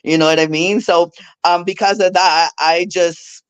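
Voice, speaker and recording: A woman's voice: fairly low pitch, steady tone, a little slow, smooth, no room sound. A woman from Brazil with a Brazilian Portuguese accent, speaking candidly as a guest in a casual interview, laughing often. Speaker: guest, figure bodybuilder.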